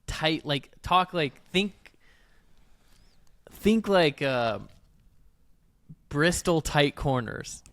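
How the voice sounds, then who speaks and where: A man co-hosting a podcast in casual discussion, halting as he thinks aloud and checking in with his listener. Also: a little high, animated, a bit bright, dry recording. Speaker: podcast co-host.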